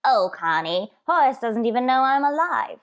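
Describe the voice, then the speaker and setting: Coy, high-voiced elderly landlady played by an actress. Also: sing-song, moderate pace. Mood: coy denial. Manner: prim, protesting, coy. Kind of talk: old-time radio sitcom acting.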